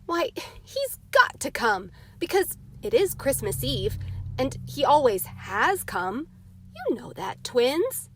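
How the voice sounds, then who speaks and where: A woman's voice: high voice, wildly swooping pitch, slow, a little hiss or hum, pitched above the performer's own voice, full character voice. A woman voicing a confident, eager ten-year-old boy in an emphatic, earnest storybook read-aloud. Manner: emphatic, childishly earnest and boastful.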